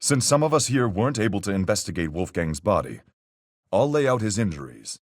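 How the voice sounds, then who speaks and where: A man voicing a proud, blunt seafaring character in a video game, with gruff, hearty sailor talk. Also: lowish voice, big pitch swings, fairly quick, dry recording, studio quality. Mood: confident, explanatory. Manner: hearty sailor talk with aye, lass, mate. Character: boastful, proud seafaring man, blunt but honest, in murder trial.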